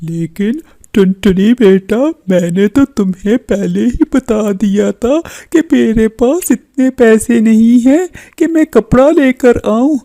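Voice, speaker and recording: A woman voices a kindly, poor father bird in a children's cartoon. The delivery is slow and mellow in a fairly high register, patient and soothing. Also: lively intonation. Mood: gentle, reluctant. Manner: soothing, coaxing. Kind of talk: Hindi children's cartoon character voice.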